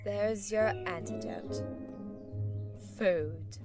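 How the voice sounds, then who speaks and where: A woman voicing a sarcastic, wheedling female alley cat in an amateur performance of an animated film scene, with theatrical delivery. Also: lowish voice, drawn-out words, over music, exaggerated voice. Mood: wheedling, bossy. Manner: sardonic, theatrical.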